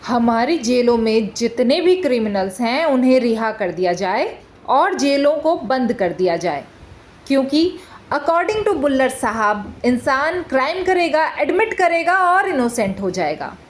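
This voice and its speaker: A woman playing an impassioned, indignant prosecuting lawyer in a theatrical courtroom monologue, speaking with an Indian accent in deliberate, rhetorical phrases with dramatic pauses. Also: sits high, very animated. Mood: measured, sarcastic.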